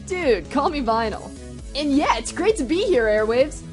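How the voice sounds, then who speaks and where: A woman's voice: sits high, lively intonation, moderate pace, over music. A woman playing a cool, brash, casual pony DJ as a guest on a radio play talk show. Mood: upbeat, cool. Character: cool, laid-back, brash female pony DJ.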